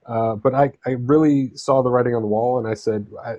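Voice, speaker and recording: A man's voice: medium pitch, steady tone, brisk, a little mellow, no echo, lo-fi sound. A man who grew up in southern Spain talks conversationally as an interview guest, telling his own story in an easygoing, explanatory way. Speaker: interview guest, freelance writer and travel correspondent.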